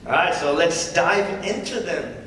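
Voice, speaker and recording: A man's voice: a little high, animated, a little slow, a bit bright. A man acting as a cocky, defensive stand-up comedian with awkward, needling patter in a live script reading. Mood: awkward, nervous. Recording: distant echoey hall, faint noise.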